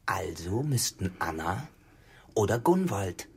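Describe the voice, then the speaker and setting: An actor in a German radio drama playing a brooding, sinister farmhand turned gentleman, speaking deliberately and formally with quiet menace in a husky voice. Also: sits low, very animated, full character voice. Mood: grave reporting.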